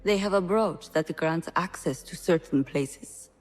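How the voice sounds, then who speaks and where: An actress playing a wry, gossipy market trader in a video game, confiding and expressive. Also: female voice, on the low side, a little slow. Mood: hesitant, confiding. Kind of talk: cinematic video game dialogue.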